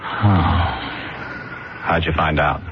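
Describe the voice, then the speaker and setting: An actor playing a broke ex-convict who is hesitant and wisecracking in a radio drama. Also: male voice, deep voice, steady tone, very slow, muffled recording, background noise. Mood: cocky. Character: broke ex-convict man, cocky and wary. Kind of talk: 1970s radio drama acting.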